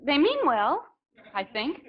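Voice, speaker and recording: A woman's voice: sits high, sing-song, slow pace, background noise, full character voice. An actress playing a tactful, tentative middle-aged mother and housewife in a 1950s radio sitcom. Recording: muffled 1950s radio recording.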